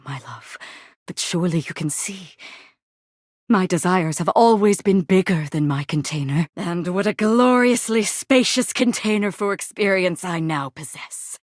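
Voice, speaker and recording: A woman voicing a proud, fervent warrior woman in a video game, theatrical and declamatory, in archaic high-flown speech. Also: dry recording, studio quality, close to an everyday voice. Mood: tender, yearning. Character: proud, fervent female warrior, grandiose and lovestruck. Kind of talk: theatrical game character acting in archaic English.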